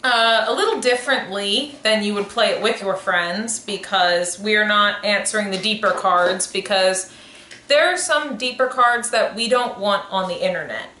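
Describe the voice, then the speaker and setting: A woman's voice: mid-range voice, normal speed, pushed and bright. A woman in her twenties co-hosting a podcast, chatting casually and rambling as she corrects herself. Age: 20s. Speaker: podcast co-host. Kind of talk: casual chat. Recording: echoey room, faint noise.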